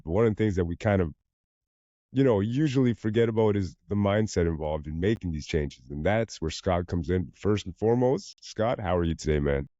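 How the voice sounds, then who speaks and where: A man's voice: low voice, warm tone, dry recording. A male podcast host with a cold and a slightly nasal voice, talking casually and calling his guest bro. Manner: casual, uses "bro" and "you know". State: congested with a cold. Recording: dull, lo-fi podcast audio.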